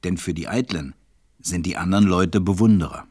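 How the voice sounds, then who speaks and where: A man with a low voice narrates a storybook as part of a radio play. He tells it in a measured way, recorded close and dry. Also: darkish tone, slightly rough, no echo.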